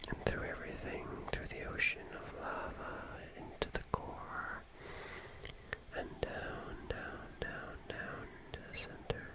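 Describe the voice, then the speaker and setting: ASMR host for bedtime listening: fully whispered, breathy, unhurried and soothing, with soft repetition. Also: poor audio. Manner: soothing, slow, repeats words softly.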